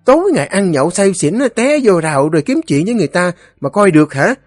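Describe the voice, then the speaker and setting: A man's voice: on the high side, sing-song, quick pace, slightly rough, dry recording, studio quality, soft background music, voice pushed up. A man voicing a fearless, scolding village wife in an audio story, with a Southern Vietnamese accent. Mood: exasperated, scolding.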